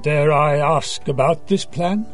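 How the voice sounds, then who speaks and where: High-voiced, earnest, unhurried priest. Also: male voice, soft background music. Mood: earnest, admonishing. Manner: measured, earnest, counseling. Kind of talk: audio drama acting.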